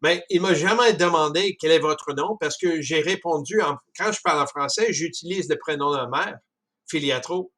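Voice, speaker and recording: A man with a Canadian French accent talking casually about a book, halting often with restarts and chuckles. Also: a little high, sharp tone. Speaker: speaker discussing a book. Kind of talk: casual spoken commentary.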